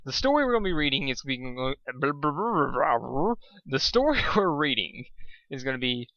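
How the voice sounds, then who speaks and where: A man's voice: medium pitch, very animated, measured pace, sharp tone, dry recording, muffled recording. A man, an amateur reader who talks casually and stumbles in a self-deprecating way. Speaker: amateur fan fiction reader. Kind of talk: casual rambling introduction and informal story reading.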